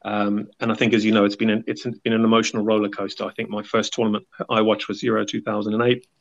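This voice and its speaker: A man's voice: fairly low pitch, little pitch movement, quick pace, creaky. A man, a podcast guest, chatting casually and rambling with many fillers and hedges. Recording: dull lo-fi sound.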